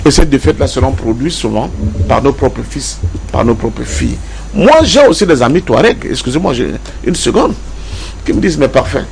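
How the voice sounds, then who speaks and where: A man's voice: mid-range voice, expressive, fairly quick, gravelly, darkish tone, a little hiss or hum, low-quality recording. A man from Cameroon with a Cameroonian accent giving political commentary as a debate panelist, emphatic, repeating key phrases and asking rhetorical questions. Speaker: panelist in a pan-African debate.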